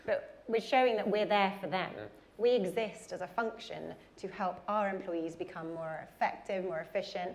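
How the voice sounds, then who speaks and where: A woman's voice: medium pitch, normal speed, hardly any pauses. A woman answering questions on a conference panel, chatty with frequent ums. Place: conference hall.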